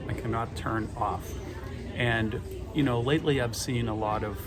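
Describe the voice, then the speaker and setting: A man's voice: mid-range voice, fairly even intonation, moderate pace, crowd noise. A middle-aged man from Boston with a Boston accent, telling stories in a chatty, rambling way with dry humor. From Boston, USA. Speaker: vlogger. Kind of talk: casual storytelling monologue. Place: airport terminal with gate announcements. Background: music and airport PA announcements.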